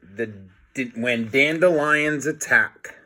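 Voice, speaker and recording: Male storybook reader, mid-pitched and bright, playful and theatrical delivery for kids. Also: slow. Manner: playful, dramatic, comments on the pictures. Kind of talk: animated read-aloud of a children's book.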